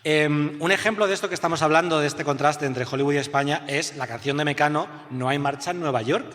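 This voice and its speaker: A man's voice: fairly high pitch, quick pace, fairly bright tone. A man hosting a live podcast on stage, telling anecdotes and laughing at them. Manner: storytelling, laughs at his own anecdotes. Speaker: podcast host. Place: stage before a live audience. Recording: long hall reverb.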